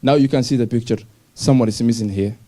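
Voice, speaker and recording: A young man from Somalia telling his personal story to an audience in simple, halting English with restarts. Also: medium pitch, mellow. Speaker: speaker sharing his refugee story. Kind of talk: personal story talk on stage.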